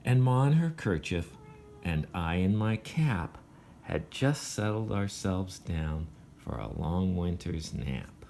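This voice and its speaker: An older man, a grandfather, reading a classic poem aloud to young children in a gentle storytelling manner, with short asides to explain. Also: sits low, animated, slow pace, creaky, slight background noise.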